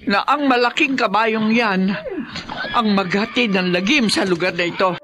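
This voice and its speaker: A woman's voice: medium pitch, normal speed, over music, lightly acted. A woman playing a fretful, disapproving older wife and grandmother in a radio drama, with a Filipino accent. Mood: fearful.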